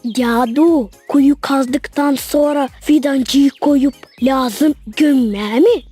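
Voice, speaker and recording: A woman's voice: high voice, slow, warm tone, soft background music. A woman with a Gagauz accent voicing an eager, curious little girl in a children's cartoon.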